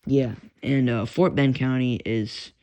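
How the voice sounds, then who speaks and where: Gravelly young Texan man rambling. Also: mid-range voice, steady tone, slow pace. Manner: rambling, swears, frequent fillers and restarts. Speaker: radio hobbyist. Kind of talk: casual rambling monologue. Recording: lo-fi with background hiss.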